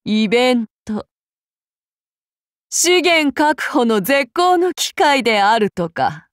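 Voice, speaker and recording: A woman playing a soft-spoken, menacing female demigod avenger in an anime game, using archaic, formal and polite speech. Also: on the high side, sing-song, takes it slowly, no echo, clean studio sound. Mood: composed, proud. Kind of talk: anime mobile game voice lines.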